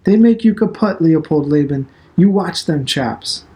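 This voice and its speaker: A man's voice: a little high, animated, measured pace, warm tone, voice pushed up. Man voicing a severe, reproachful old immigrant father. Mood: scornful scolding. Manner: broken immigrant English, reproachful questions.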